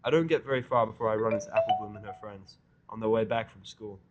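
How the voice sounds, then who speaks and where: A man's voice: on the low side, fast, lo-fi sound, music in the background. A man reading first-person fan fiction as narrator, in a sardonic, grumbling way.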